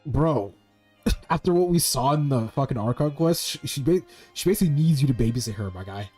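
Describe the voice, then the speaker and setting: A man's voice: mid-range voice, expressive, a little fast, warm tone, husky, faint music behind. A man streaming a game with casual, slangy commentary and plenty of swearing. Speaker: gaming streamer.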